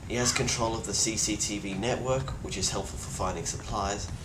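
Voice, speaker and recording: A man playing a grim, weary plague survivor, speaking gravely and bluntly in a halting video-diary monologue. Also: lowish voice, steady tone, natural voice. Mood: earnest, advising. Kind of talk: in-character monologue, found-footage video diary. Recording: roomy echo with clear background noise.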